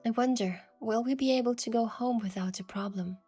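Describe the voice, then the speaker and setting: A woman voicing a curious young adventurer heroine, telling the story in the first person with earnest, expressive delivery. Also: fairly high pitch, a little fast, husky. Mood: anxious. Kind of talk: scripted first-person narration and dialogue. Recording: music behind the voice.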